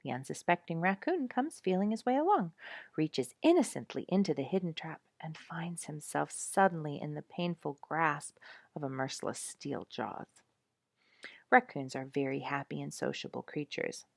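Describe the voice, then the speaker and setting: A woman reading an animal storybook aloud in an expressive, animated storytelling manner, as if for listeners following the pictures. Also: deep voice, normal speed, gravelly, no echo, clean studio sound. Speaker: storybook reader.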